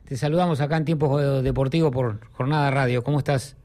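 A man's voice: mid-range voice, fairly even intonation, quick pace, a little mellow. A middle-aged Argentine man hosting a sports radio show in a rambling, conversational way.

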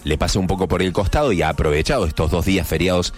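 A man's voice: low voice, very fast, faint music behind. A man from Argentina with a Córdoba accent, hosting a casual morning radio show with chatty, joking asides. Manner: chatty, jokey asides, playful mock voices. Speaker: radio host.

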